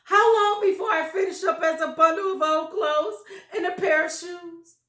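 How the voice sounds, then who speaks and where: A woman's voice: steady tone, moderate pace, pushed and bright, roomy sound. A woman voicing a frightened old wife who panics and cries out, in a storybook reading. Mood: terrified. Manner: shrill, panicky crying out.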